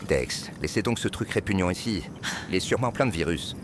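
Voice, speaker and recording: A man's voice: low voice, animated, a little fast, heavy background noise. A man playing a neurotic, grumpy former villain boss in a cartoon game world, dry, fussy and hesitant. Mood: impatient.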